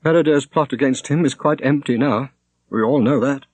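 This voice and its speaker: Man doing an earnest young woman, lifted pitch. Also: moderate pace, a little mellow, no room sound, lightly acted. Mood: sad, anxious. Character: earnest, quick-witted grieving young Welsh woman. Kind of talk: audiobook character reading.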